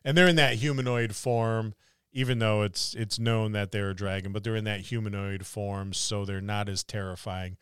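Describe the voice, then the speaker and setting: Smooth, low-voiced man in discussion. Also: fairly even intonation, normal speed, fairly bright tone, no room sound, clean studio sound. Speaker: podcast co-host, game master. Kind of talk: casual discussion.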